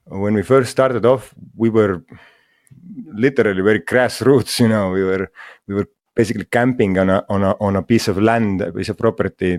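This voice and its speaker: A man from Estonia, a guest in an interview, speaking English in a halting way with many fillers and restarts. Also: lowish voice, a little mellow, no room sound, studio quality. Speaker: guest, mushroom school founder. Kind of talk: casual interview talk.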